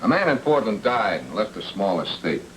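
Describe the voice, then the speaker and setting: Casting: unruffled noir private eye, low register, lively inflection, terse wisecracks, vintage noisy soundtrack. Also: male voice, normal speed. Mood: deadpan probing. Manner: terse, dry, deadpan delivery. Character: hard-boiled, wisecracking male private detective, coolly unruffled. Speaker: actor playing a private detective. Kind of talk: 1940s film noir radio acting. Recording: old film soundtrack with faint noise.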